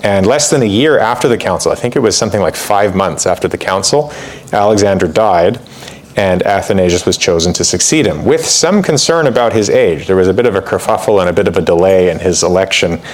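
A man with a somewhat low voice lectures on church history in a loose, conversational way. He pads his sentences with fillers and side remarks. When he reads a quotation aloud, he leans into it with more weight. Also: brisk. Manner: conversational, with frequent fillers and asides. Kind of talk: informal lecture.

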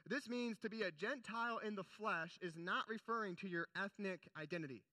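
A man's voice: sits high, a little fast, sharp tone, dry recording. A young man preaching a sermon in an earnest, conversational way, with casual asides between scripture readings. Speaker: pastor.

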